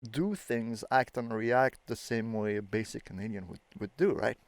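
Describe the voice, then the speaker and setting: A man's voice: lowish voice, moderate pace, no echo. A man in his thirties from France with a French accent, chatting casually as host, rambling with lots of fillers and the odd swear. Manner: rambling, filler-heavy, swears when surprised. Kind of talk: casual chat.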